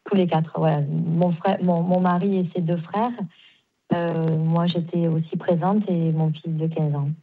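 A woman in her forties speaks over a tinny phone line, her voice a little low. She talks haltingly, often repeating words before she finds them. She agrees readily and warmly. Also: flat delivery. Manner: halting, repeats herself, warm and emphatic agreement. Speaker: caller, mother. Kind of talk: phone interview on radio.

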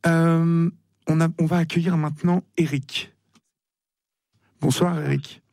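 Gravelly-voiced male radio host. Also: fairly high pitch, flat delivery, slow pace, no echo, studio quality. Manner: hesitant, many fillers, gentle and polite. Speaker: radio talk show host. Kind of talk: live call-in radio. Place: radio studio. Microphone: studio microphone.

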